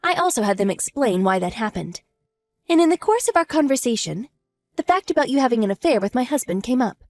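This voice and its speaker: A woman's voice: on the high side, big pitch swings, brisk, a little mellow, faint music behind. Woman playing an icy, sneering wife. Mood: mocking. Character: cold scheming wife, mocking and vengeful. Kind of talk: audio drama acting.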